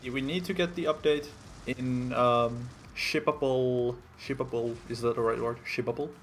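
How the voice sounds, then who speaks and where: A man's voice: medium pitch, normal speed, a little hiss or hum. Man hosting a game stream. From Finland. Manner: hesitant, searching for English words, self-deprecating. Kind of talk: casual live-stream chat answering viewer questions.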